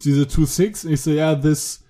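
A man with a fairly high voice chats casually and rambles through his stories, restarting sentences and trailing off as he talks. He sounds loose and unscripted. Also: steady tone, unhurried, dark tone. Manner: rambling, self-interrupting, laughs at own stories. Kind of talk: casual chat.

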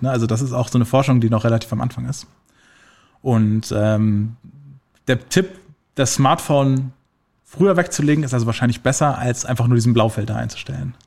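A man explaining health myths in a casual podcast conversation, relaxed and full of fillers, using everyday examples. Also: mid-range voice, vocal fry.

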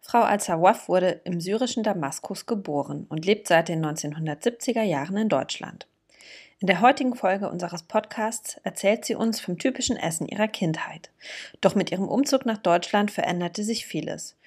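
A woman hosting a cooking interview podcast, reading a scripted intro and then asking slow questions with fillers. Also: mid-range voice, animated, breathy edge. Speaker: podcast host.

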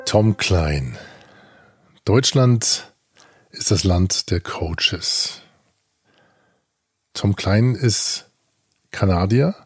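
A man asking interview questions in slow, deliberate phrasing. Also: low-pitched, lively intonation, husky, no echo, clean studio sound. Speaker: interviewer and podcaster.

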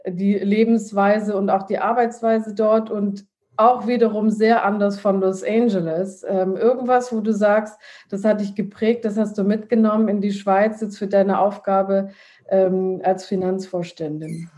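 A woman's voice: mid-range voice, steady tone, a little slow, mellow. A woman interviewing a guest, asking friendly questions with many fillers.

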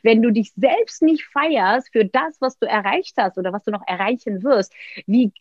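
A woman, telling stories as an interview guest, lively, with many fillers and restarts. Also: on the high side, normal speed, no room sound. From Turkey. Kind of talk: casual interview talk. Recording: dull, dry sound.

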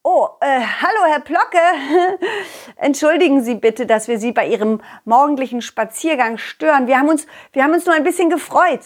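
Woman as an animated, high-voiced excitable dog. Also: moderate pace. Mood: indignant, pleading. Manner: animated, giggly, childlike enthusiasm. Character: confident, excitable, loyal young dog hero telling stories. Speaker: puppeteer and storyteller. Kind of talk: children's audio play narration, addressing listeners.

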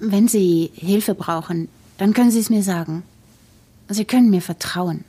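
Husky, mellow, pragmatic young mother. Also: mid-range voice, unhurried. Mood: probing, patient. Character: tired, pragmatic young mother, reassuring yet probing friend. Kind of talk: naturalistic German audio drama acting.

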